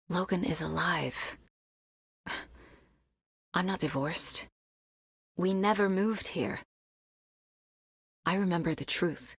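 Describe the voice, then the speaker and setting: A determined, level-headed female federal agent in a horror game. Her voice is husky and on the low side, recorded close and dry. She sounds serious and focused, as if thinking through a case. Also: no echo. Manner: focused, serious, often musing to herself. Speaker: video game voice actress. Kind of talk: dramatic video game voice lines.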